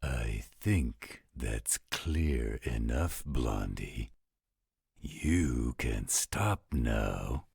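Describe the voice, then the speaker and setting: A gruff, overprotective werewolf guardian in an audio roleplay. The voice is rock-bottom deep and husky, full of gravel, and he grumbles out his disapproval very slowly in a close, dry recording. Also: lively intonation, darkish tone, dry recording, heavily acted. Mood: stern, exasperated. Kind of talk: scripted roleplay audio drama.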